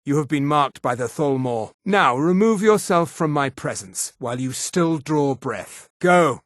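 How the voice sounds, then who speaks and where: A haughty high elf man for a fantasy video game. His fairly high voice moves through wide, animated swings of pitch with precise, theatrical diction. The sound is dry and close, free of room echo. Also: measured pace. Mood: menacing, contemptuous. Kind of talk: scripted fantasy game voice acting.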